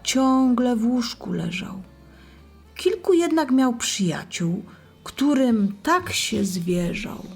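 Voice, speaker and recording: A woman narrating a scripted rhymed Bible story for children in a deliberate, expressive way. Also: a little high, vocal fry, husky, soft background music.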